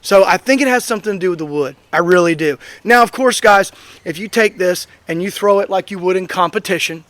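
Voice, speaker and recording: Hoarse guy kinda high voice rambling about his gear, lots of ums, chatty vlog host. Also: a little fast, fairly bright tone, no echo. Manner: rambling, opinionated, frequent fillers. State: hoarse, recovering from being sick. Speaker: axe throwing channel host. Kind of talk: casual outdoor review vlog. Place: outdoors at a throwing range.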